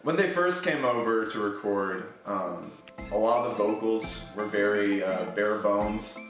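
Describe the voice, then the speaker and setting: A man's voice: lowish voice, unhurried. A man producer casually rambling about recording an album, with many fillers. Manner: relaxed, rambling, lots of fillers and swearing. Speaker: music producer. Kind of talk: casual documentary interview. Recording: very echoey room, distant mic, music underneath.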